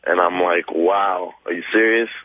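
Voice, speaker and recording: A man's voice: low-pitched, steady tone, moderate pace, no room sound. A young man calling a radio show, telling a rambling, self-deprecating story in casual speech. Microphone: telephone. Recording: narrow dry phone line.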